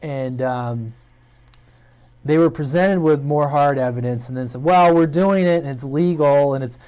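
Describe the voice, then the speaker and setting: A man's voice: on the high side, measured pace, darkish tone, clear, resonant tone. An American man hosting a hobby video channel, talking casually and unscripted to viewers in a hesitant, rambling way, thinking aloud.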